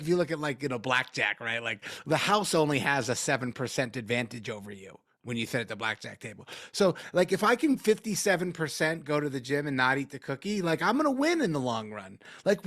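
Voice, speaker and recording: A man's voice: a little high, fairly bright tone, dry recording. Chatty male meditation teacher, dry sound. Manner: conversational, repeats words, many "like" fillers. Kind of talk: casual teaching and Q&A.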